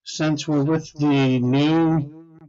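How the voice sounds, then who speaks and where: A man co-hosting a casual radio show, telling stories slowly and laughing at his own jokes. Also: a little high, little pitch movement, mellow, dull audio. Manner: slow, wry, laughs often. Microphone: headphones and studio mic.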